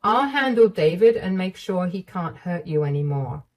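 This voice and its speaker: A woman voicing a caring, protective older mother-in-law, warm and reassuring but fiercely indignant on her behalf. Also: on the low side, lively intonation, normal speed, close to an everyday voice.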